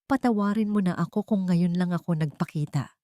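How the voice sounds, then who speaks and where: A woman voicing a serious, calm man with quiet authority in an audiobook drama. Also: lowish voice, fairly quick, no room sound, natural voice.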